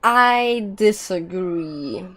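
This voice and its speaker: A woman's voice: lowish voice, expressive, extremely slow, fairly bright tone. A woman game streamer giving casual live commentary as she plays. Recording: game music behind the voice. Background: video game music.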